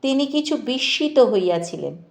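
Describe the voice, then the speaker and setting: A woman narrating a literary novel in a measured, expressive way with deliberate pauses. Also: a little high, creaky. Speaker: audiobook narrator. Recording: echoey voice with music behind. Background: music.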